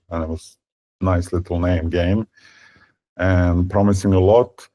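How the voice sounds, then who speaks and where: A man in his fifties from Serbia, speaking as a guest in an interview. He talks haltingly, with many fillers and repeated words. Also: deep voice, a little mellow. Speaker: tech company founder, guest. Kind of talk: conversational interview.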